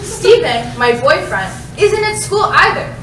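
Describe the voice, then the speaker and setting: Woman as a very high-pitched naive schoolgirl. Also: lively intonation, unhurried, fairly bright tone, heavily acted. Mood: breezy, naive. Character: naive middle-school girl, eagerly eating her lunch. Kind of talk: comic staged play reading. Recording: echoey noisy room from far off.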